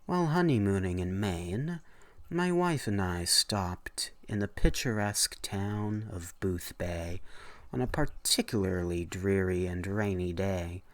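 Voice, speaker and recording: A low-pitched man reads like a theatrical horror narrator. His delivery is slow and deliberate, with expressive rises and falls, in a close, dry sound. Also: no room sound. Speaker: narrator of horror story readings. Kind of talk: dramatic scripted narration.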